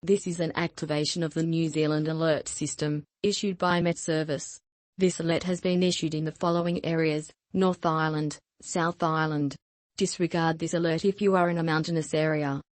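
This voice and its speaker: A woman reading a scripted emergency broadcast announcement in a formal, impersonal and measured way. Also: low voice, fairly even intonation, no room sound.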